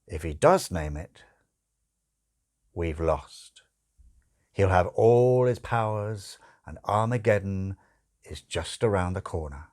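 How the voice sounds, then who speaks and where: A man voicing a suave, sardonic male demon in an audiobook, urgent and brooding, with emphatic, drawn-out phrasing. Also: sits low, a little mellow. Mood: worried, grim.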